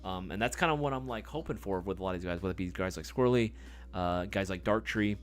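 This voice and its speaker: A man's voice: low-pitched, fast talker, soft background music. A man hosting a live stream in casual chat, reading viewer comments, rambling with many fillers and casual swearing.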